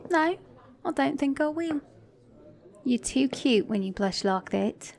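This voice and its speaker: A woman's voice: high-pitched, lively intonation, slow pace, vocal fry, a little mellow, heavily acted. A woman voicing a flirty, sassy, caring mechanic in an intimate ASMR romance roleplay, teasing the listener as her date. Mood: flirty, sly. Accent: British or Australian.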